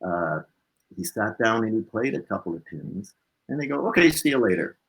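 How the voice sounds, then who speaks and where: Husky, low-voiced man telling stories. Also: moderate pace, rough recording. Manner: animated anecdotal telling, acting out dialogue, tagging phrases with "right?". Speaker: interview guest, blues musician. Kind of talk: casual personal storytelling.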